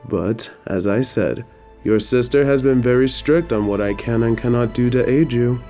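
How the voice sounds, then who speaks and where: A man playing a gentle, apologetic old-time leather tanner in an audio drama, tender and soothing with old-fashioned phrasing. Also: mid-range voice, normal speed, soft background music, natural voice. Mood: soothing, affectionate. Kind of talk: romantic audio drama acting.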